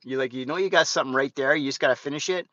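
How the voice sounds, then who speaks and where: 50s guy rambling to his viewers from the car, bright voice, muffled lo-fi audio. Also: medium pitch, very fast, dry recording. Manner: rambling, chatty, frequent restarts and "guys". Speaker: fitness vlogger. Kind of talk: casual live vlog while driving. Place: inside a moving car. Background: faint car and road noise.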